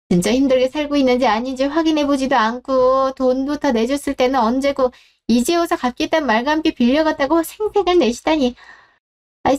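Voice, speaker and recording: A brazen daughter-in-law played by a woman storyteller with a high voice. She talks back with open scorn and sarcasm, confrontational throughout a scripted family drama. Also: darkish tone.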